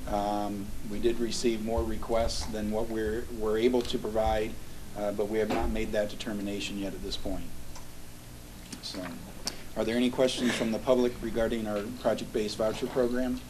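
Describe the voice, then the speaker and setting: A man's voice: lowish voice, little pitch movement, moderate pace, recorded from a distance, background noise. A man who directs a housing agency, giving a methodical, businesslike briefing at a public meeting.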